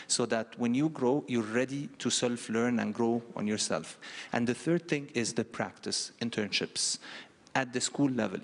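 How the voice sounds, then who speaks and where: A man's voice: mid-range voice, vocal fry. A middle-aged man from Egypt, speaking on a conference panel and explaining things in an orderly way with frequent fillers. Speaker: panelist, university lecturer. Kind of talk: panel talk. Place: large conference hall. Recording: long hall reverb.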